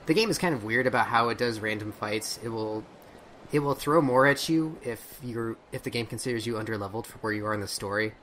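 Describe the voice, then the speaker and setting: A man casually commentating on a video game he recorded, relaxed and rambling. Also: mid-range voice, a little fast, a little hiss or hum. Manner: relaxed, rambling, trails off and restarts. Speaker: let's play host.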